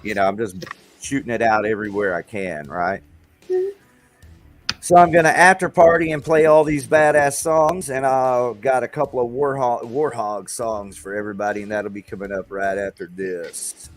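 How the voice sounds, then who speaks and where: A man's voice: medium pitch, steady tone, normal speed. Chatty man hosting a music show. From Texas. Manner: rambling, enthusiastic, with fillers and restarts. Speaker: host of a local music show. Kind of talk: casual livestream chat.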